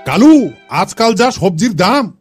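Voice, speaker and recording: Man playing a high-voiced chiding cartoon schoolteacher. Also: sing-song, slow, music in the background, heavily acted. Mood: inquisitive, chiding. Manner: questioning, chiding. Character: scolding, inquisitive cartoon schoolteacher, male. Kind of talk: comedy cartoon dialogue.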